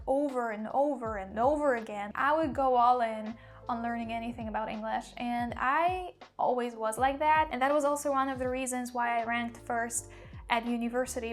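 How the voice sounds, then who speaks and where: A young woman with a slight Polish accent, a language mentor talking earnestly about her own learning, with lots of "like" fillers. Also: a little high. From Poland. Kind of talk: personal vlog monologue.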